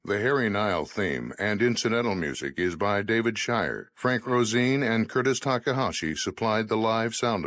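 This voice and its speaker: A man announcing radio drama credits in a formal, measured manner. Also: fairly low pitch, fairly bright tone.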